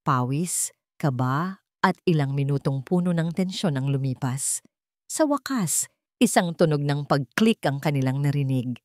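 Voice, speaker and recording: A woman's voice: low voice, darkish tone, dry recording, studio quality. A woman with a Filipino accent narrating a scripted story in a dramatic style.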